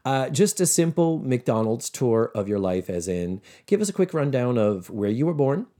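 Lively-pitched American man hosting a chat. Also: normal speed. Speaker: podcast host. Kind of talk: casual chat, recapping a TV episode.

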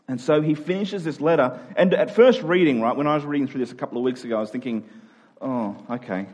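A man's voice: medium pitch, a little mellow, breathy edge. A man preaching a sermon as a pastor, speaking informally and plainly and repeating phrases for emphasis. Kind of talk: sermon, conversational expository preaching.

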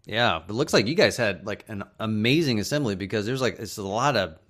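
A man's voice: lowish voice, expressive, a little fast, a bit bright. A man appearing as a podcast guest, chatting eagerly and packing his speech with "like" and "uh". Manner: enthusiastic, heavy use of "like" and "uh". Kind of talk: casual podcast chat.

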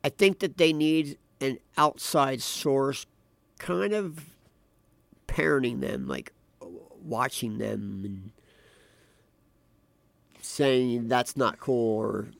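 Raspy-voiced sick man co-hosting. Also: medium pitch, slow pace, pauses often, no room sound. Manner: joking, hesitant with restarts. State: sick with a cold. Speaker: podcast co-host. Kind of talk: casual political chat.

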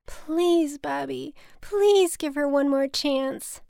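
Woman acting an anxious adult daughter, high-pitched. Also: animated, normal speed, no echo, clean studio sound. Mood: pleading. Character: anxious, dutiful adult daughter pleading and placating.

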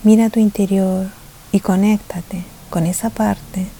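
A woman leading a guided meditation, soft-spoken and soothing, with gentle pauses and a tendency to drift toward a breathy near-whisper. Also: mid-range voice, fairly even intonation, rough recording. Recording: faint hiss behind the voice.

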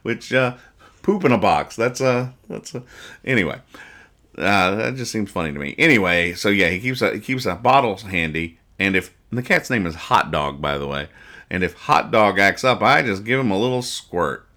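A man hosting a podcast, giving casual comic commentary with mock-serious, exasperated deadpan nitpicking. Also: on the low side, moderate pace. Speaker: podcast host. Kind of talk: comedic casual commentary reading comic strips aloud.

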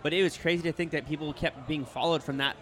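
A rambling, excitable young man in his twenties, dropping curses through casual podcast chat. His voice sits a little high and sounds bright. He jumps between thoughts and often restarts his sentences. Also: fast. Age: 20s. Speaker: podcast guest. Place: brewery.

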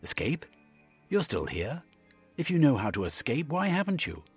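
A man voicing a gruff, haughty gentleman prisoner in an audiobook, with a husky voice. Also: medium pitch, sing-song, moderate pace, soft background music, voice pushed up, exaggerated voice.

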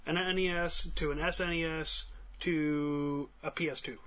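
Casting: male co-host with a bright, lightly high voice and halting conversational delivery. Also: steady tone. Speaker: podcast co-host. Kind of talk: casual chat.

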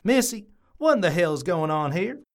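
A man's voice: high-pitched, sing-song, moderate pace. A man playing an earnest, lovestruck and protective suitor in an audio drama. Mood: alarmed, scolding.

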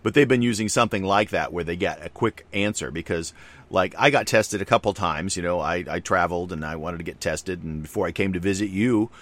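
A man's voice: sits low, brisk, a bit bright. A middle-aged man co-hosting a podcast in casual conversation, rambling loosely with many fillers and asides. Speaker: podcast co-host.

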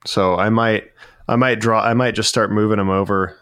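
A man from Florida talks casually in a low, smooth, clear voice. He rambles and corrects himself as he goes, and the sound is dry and close. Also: steady tone, normal speed, a bit bright, no room sound. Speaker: podcast co-host, metalworker. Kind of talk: casual chat.

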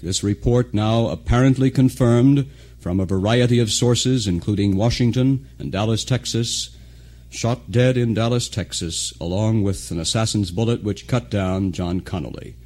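A man, a radio news anchor announcing breaking news in a solemn, measured, formal way. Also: fairly low pitch, darkish tone. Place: radio studio.

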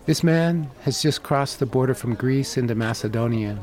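A man, narrating a documentary report in a calm, measured, plain-spoken way. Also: medium pitch. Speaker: host and reporter. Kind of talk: scripted narration and field interview.

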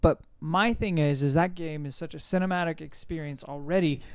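Male panelist with a slightly high, smooth voice, dry recording, conversational podcast delivery. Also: fairly even intonation, fairly quick, no echo. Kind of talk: casual group discussion.

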